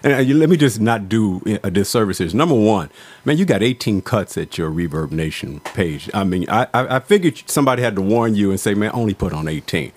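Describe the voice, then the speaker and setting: A man's voice: on the low side, animated, a little fast, darkish tone, slightly rough. A man hosting a talk show interview, speaking casually with slang and rambling lead-ins to his questions.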